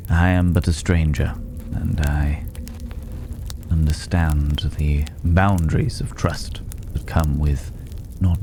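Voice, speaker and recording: An extremely deep, unhurried male voice acted out by a man plays a mysterious stranger in a fantasy ASMR roleplay. He is courteous and pensive, with soft, formal, old-fashioned phrasing that edges toward a whisper. Noticeable background noise runs underneath. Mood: probing, calm.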